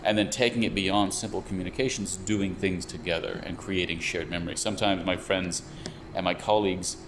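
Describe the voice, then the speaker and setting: A young man with a very low voice talks through his ideas slowly and carefully, often restarting a phrase. An air conditioner hums steadily behind him. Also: fairly even intonation. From United States. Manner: thoughtful, measured, with frequent restarts. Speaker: VR researcher and developer. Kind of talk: explanatory interview talk. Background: air conditioning hum.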